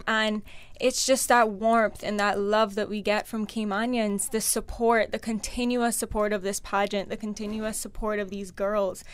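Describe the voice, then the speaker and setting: A young woman, the current pageant titleholder, speaking sincerely and warmly as a radio guest. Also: mid-range voice, clear, resonant tone. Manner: heartfelt, sincere. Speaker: reigning pageant queen.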